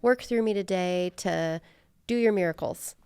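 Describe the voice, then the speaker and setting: She is a middle-aged American woman speaking in a casual, reflective podcast conversation. Her mid-pitched voice is clear and smooth, with a gravelly fry running through it. She works out her thoughts aloud in informal language. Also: normal speed, dark tone. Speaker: podcast host; therapist.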